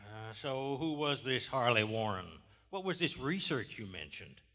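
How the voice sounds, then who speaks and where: An actor playing a gruff, skeptical lawman in a live radio play, folksy and blunt as he interrogates. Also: mid-range voice, big pitch swings, moderate pace, pushed and bright. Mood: probing. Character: gruff, skeptical Southern small-town lawman interrogating a suspect. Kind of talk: live radio horror theater acting. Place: large theater stage, live performance. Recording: live stage in echoing theater hall.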